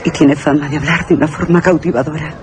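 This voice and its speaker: A woman's voice: low voice, steady tone, fast, noisy background, natural voice. A woman playing an intrigued, wary London society gossip in a radio drama, theatrical and insinuating. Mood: intrigued, gossipy. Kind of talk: Spanish radio theatre acting.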